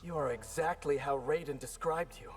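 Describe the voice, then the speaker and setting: An actor playing an earnest, bold young ninja in a video game, respectful in manner. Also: male voice, fairly high pitch, moderate pace, slight background noise, lightly acted. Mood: earnest, curious. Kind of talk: video game character dialogue.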